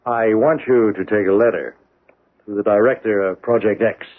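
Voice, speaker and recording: An actor playing a formal, authoritative major general, speaking officially in old-time radio drama style. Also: male voice, fairly low pitch, fairly even intonation, normal speed, natural voice. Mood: formal authority. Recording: narrow, phone-like vintage radio broadcast.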